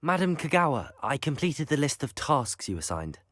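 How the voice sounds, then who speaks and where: A young actor playing an earnest, polite teenage wizard student in a video game. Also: male voice, a little high, very animated, moderate pace, fairly bright tone, no echo, clean studio sound.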